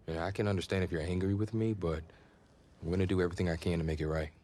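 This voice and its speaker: An actor playing an earnest young quarterback, conciliatory yet proud, in scripted video game dialogue. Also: male voice, sits low, steady tone, very fast, dark tone. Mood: apologetic. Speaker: actor in a football game's story scenes.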